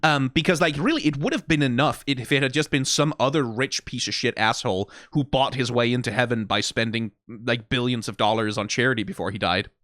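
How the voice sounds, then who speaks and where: A man's voice: mid-range voice, a little fast, few pauses, a bit bright, no room sound, studio quality. A man hosting a reaction video, giving rambling, analytical criticism full of "like" and "right?" with a wry, profane edge. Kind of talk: casual critical commentary.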